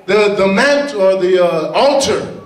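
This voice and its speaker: A middle-aged man preaching a sermon at a pulpit with a handheld mic, reading scripture and breaking into emphatic shouted lines. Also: sits high, lively intonation, takes it slowly, distant mic. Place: large church hall with a pulpit. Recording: echoey hall, heard from afar. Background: congregation responding.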